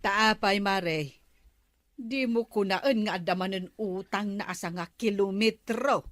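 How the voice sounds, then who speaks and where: A woman's voice: medium pitch, a little slow, no echo, lightly acted. A woman with an Ilocano accent playing a drawling, bantering village woman in a comedy drama. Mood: wry.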